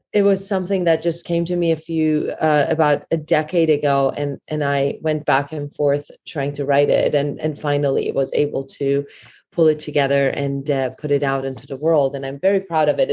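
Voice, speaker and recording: Interview guest, woman author, low voice, earnest and steady, lots of fillers. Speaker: author and meditation teacher.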